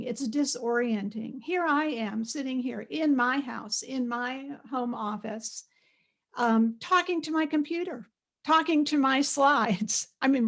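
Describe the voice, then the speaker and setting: Soft-voiced older woman, slow and high-pitched. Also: vocal fry. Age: older, around 70. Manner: conversational, hesitant, with frequent pauses. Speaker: guest lecturer, art historian and curator. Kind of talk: informal online lecture with slides. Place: home office, on a video call. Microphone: added external microphone on a computer.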